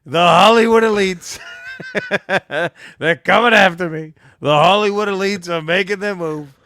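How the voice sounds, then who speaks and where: A man co-hosting a comedy podcast, trading profane, mocking banter and shouting when he gets excited. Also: high-pitched, a little slow, slight background noise.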